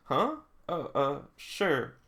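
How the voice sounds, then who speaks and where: A man's voice: on the high side, sing-song, very slow, pushed and bright. A man doing a read-along with casual, emotional running reactions, often exclaiming like an absorbed fan. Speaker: reader reacting to a manga.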